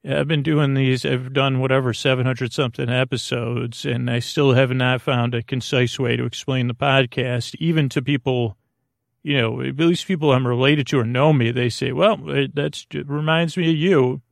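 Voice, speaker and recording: Male sleep-podcast host: gravelly, lulling, halting delivery that wanders, recorded close and dry. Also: medium pitch, steady tone, no room sound. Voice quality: creaky. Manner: meandering, halting, repeats and trails off; soothing, lulling. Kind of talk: rambling bedtime sleep podcast intro.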